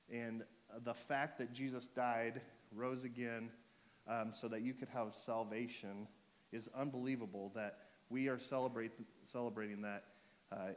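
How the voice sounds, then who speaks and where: Pastor guy w a sore throat, kinda hoarse, easygoing sermon, lots of ums. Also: mid-range voice. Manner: joking, relaxed, with fillers and restarts. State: sore throat, losing his voice. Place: church.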